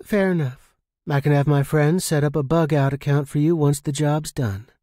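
A man voicing a coy, coldly seductive woman pilot with quiet menace in an audiobook. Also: a little high, moderate pace, dry recording, clean studio sound, natural voice. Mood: coolly agreeable. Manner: coy, curt. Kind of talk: audiobook character dialogue.

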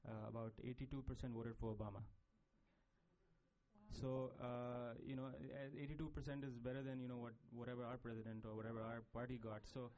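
A man's voice: mid-range voice, level tone, brisk, a little mellow, rough recording. A man, speaking as a panelist and explaining at length, with frequent fillers and restarts. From Pakistan. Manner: discursive, many fillers and restarts. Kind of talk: panel discussion. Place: conference panel stage.

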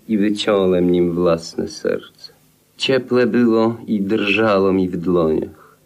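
A melancholy, lovesick murderer from an old radio play. His low voice takes its time, drawing out poetic phrases. The delivery is theatrical, rising and falling widely. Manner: theatrical, lyrical storytelling with drawn-out phrases. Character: second murderer, lovelorn melancholy drinker telling his tale. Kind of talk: 1950s radio play acting, poetic monologue.

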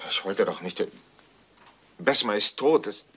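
Flustered, very deep-voiced husband. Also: male voice, lively intonation, measured pace, bright, forceful tone, breathy edge, exaggerated voice. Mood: defensive, flustered. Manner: pleading, flustered, defensive. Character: nervous, evasive bookseller husband. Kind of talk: German crime radio play acting.

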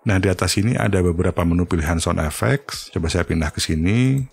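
A man giving an informal, step-by-step software tutorial. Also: deep voice, lively intonation, a little fast, creaky, breathy edge, no room sound. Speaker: tutorial host.

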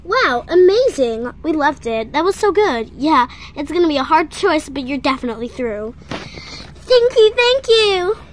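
A young girl performing every voice in a playful toy talent-show skit, bubbly and excitable. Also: high voice, measured pace, slight background noise. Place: indoors at home, small room.

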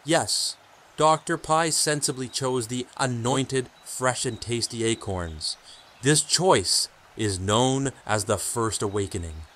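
An actor playing a solemn keeper of sacred lore in an audio drama, measured and portentous as a storyteller. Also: male voice, mid-range voice, fairly bright tone. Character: solemn male sage, keeper of sacred word.

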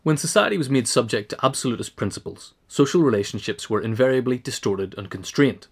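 A man's voice: mid-range voice, lively intonation, fairly quick, husky. A man reading a scripted essay aloud as a narrator, in a formal manner. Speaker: audiobook reader.

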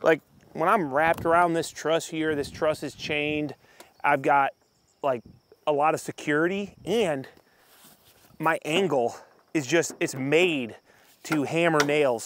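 A man's voice: a little high, measured pace, pauses often. Man narrating a build outdoors in wind. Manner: relaxed, explanatory, chuckles often. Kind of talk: casual on-site narration. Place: outdoors on a lift at a windy build site. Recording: windy outdoor site noise. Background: wind and machinery.

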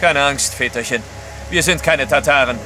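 Guy playing serious watchful guide, mid-pitched, assured, radio play with music under it. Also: normal speed, close to an everyday voice, poor audio. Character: grave, watchful male guide, reassuring yet blunt. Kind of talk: German radio play acting.

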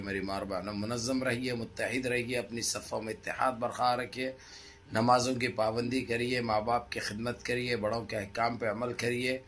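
A middle-aged man with a hoarse throat gives impassioned political commentary to his viewers in emphatic, repetitive, rhetorical phrases. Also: mid-range voice, a bit bright, slight background noise. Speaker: news commentary vlogger. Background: background music.

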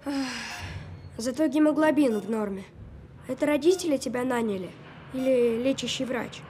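A woman's voice: sits high, normal speed, mellow, a little hiss or hum, natural voice. A woman playing a sceptical, precocious twelve-year-old sick boy with a dry, know-it-all manner in an audio drama. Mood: sarcastic, weary.

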